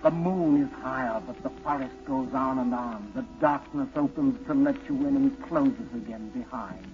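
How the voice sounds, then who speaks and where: An actor playing an ominous narrator in a 1940s radio drama, speaking directly to the hero in a deliberate, brooding, dramatic way. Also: male voice, mid-range voice, soft background music, lightly acted. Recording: muffled 1940s radio broadcast.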